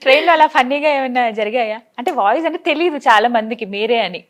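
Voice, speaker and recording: A woman's voice: fairly high pitch, quick pace. A woman hosting a casual interview, asking questions and attentively giving short acknowledgements. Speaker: interviewer, host.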